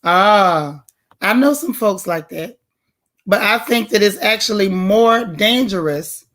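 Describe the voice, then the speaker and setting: Woman, hoarse, heavy voice with bass, loud, direct, emphatic, livestream host, casual chat. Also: animated, slow.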